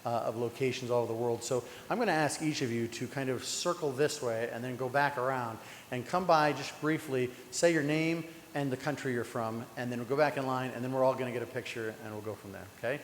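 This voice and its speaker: A man at a city council meeting speaks informally, stumbling over and restarting his sentences in a friendly tone. His voice sits at an ordinary pitch. It rings through a large, echoey chamber with a long tail of reverb and a faint background hum. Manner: halting, many restarts, friendly. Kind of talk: informal remarks at a public meeting.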